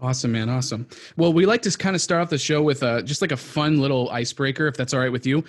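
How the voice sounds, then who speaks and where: A man's voice: medium pitch, quick pace. A man from New England hosting a podcast, giving upbeat introductions and asking icebreaker questions. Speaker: podcast host.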